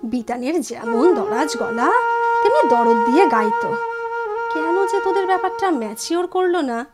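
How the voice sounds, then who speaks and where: A practical, concerned woman played by an actress speaks in a high voice in an audio drama. She is earnest and measured, a friend who questions gently. Loud music plays behind her. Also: animated. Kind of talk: Bengali audio drama acting. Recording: prominent music over the voice. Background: loud music with woodwind.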